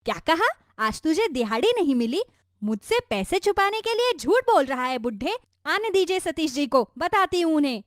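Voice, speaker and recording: A woman's voice: high voice, big pitch swings, moderate pace, no room sound, clean studio sound. A woman voicing a greedy, rude young daughter-in-law in a cartoon story, scolding and making insolent demands. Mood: scornful, angry. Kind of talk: Hindi cartoon story voice acting.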